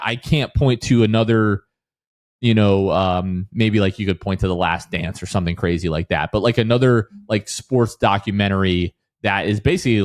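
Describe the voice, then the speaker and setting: American male podcast host, low voice, dry close sound, casual sports chat. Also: no echo.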